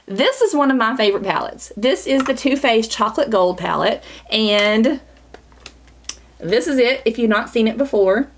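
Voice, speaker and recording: A chatty woman talks through a makeup tutorial in a small home studio. Her voice sits a bit high and sounds scratchy from a lingering cold, and she keeps a bubbly, confiding tone close to the camera mic. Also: animated, normal speed. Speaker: beauty vlogger.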